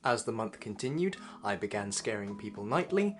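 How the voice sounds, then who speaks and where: A man's voice: lowish voice, big pitch swings, moderate pace. A man doing a reflective voice-over for his own vlog, looking back wistfully. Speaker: vlog narrator. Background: guitar music.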